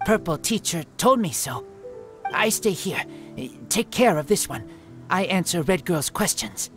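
Game role: feral wolf-raised youth, earnest, slow broken English, music behind. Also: male voice, high-pitched, lively intonation, a bit bright. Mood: matter-of-fact. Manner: speaks in clipped broken English. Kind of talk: video game character acting.

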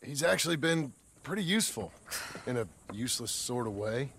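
Gravelly Western cowboy. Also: male voice, medium pitch, animated, brisk, a bit bright, slight background noise. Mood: plain, friendly. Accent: American Western. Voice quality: gravelly drawl. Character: rough, soft-hearted bearded cowboy turned rancher. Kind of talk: video game cutscene acting. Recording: music behind.

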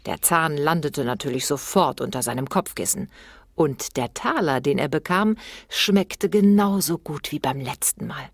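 A woman's voice: sits low, normal speed, dry recording, clean studio sound. A woman reading a children's story as scripted narration, expressively acting out the dialogue.

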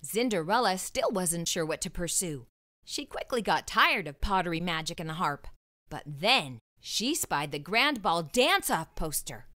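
A woman reading a children's storybook aloud as an expressive narrator. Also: on the low side, measured pace.

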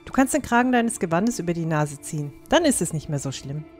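Woman playing a low-voiced plucky young dwarf huntress. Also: sing-song, brisk, darkish tone, soft background music. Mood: teasing. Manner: down-to-earth, matter-of-fact, teasing. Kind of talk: fan-made fantasy audio drama.

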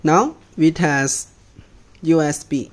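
A man's voice: a little high, slow, slight background noise. A man with a Chinese accent giving a terse, step-by-step product demonstration in short, clipped English phrases as a non-native speaker.

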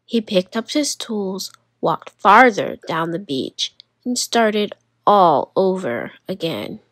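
A woman narrating a children's storybook aloud, expressively and deliberately, with dramatic emphasis on single words. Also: medium pitch, very animated, fairly bright tone, no room sound.

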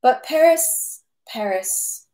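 A woman's voice: high-pitched, slow pace, reverberant, pitched above the performer's own voice. A woman voicing a merry, good-natured French army captain who brags ceaselessly about his battles. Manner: lively, talks ceaselessly, good-natured bragging. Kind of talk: audiobook character reading.

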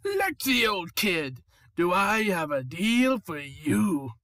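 Gleeful clown villain, theatrical, slow drawn-out delivery, swinging pitch, cartoon dub, faint music behind. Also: male voice, big pitch swings, full character voice. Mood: gleeful, smooth-talking. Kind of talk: cartoon fan dub acting.

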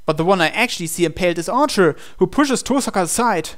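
A man's voice: high-pitched, animated, fast talker, slight background noise. A man reading a visual novel aloud as a let's player, narrating it dramatically over music. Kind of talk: dramatic read-aloud narration of on-screen text. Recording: music behind the voice.

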